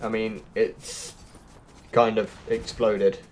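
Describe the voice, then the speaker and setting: A man's voice: on the low side, level tone, takes it slowly, slight background noise. A man giving casual, chatty walkthrough commentary as a gaming video host, often thinking aloud. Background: background music.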